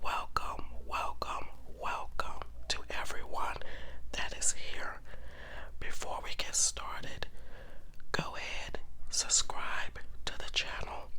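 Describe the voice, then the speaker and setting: A person telling a true crime story in an ASMR style, whispering softly and deliberately, with pauses and repeated phrases. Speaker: host and storyteller of an ASMR channel.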